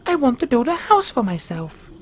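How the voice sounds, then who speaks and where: Casting: eager storybook piglet, played by a man, high voice, swooping delivery, slight background noise. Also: sing-song, normal speed. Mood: eager, pleased. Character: eager, pleased little pig building his house.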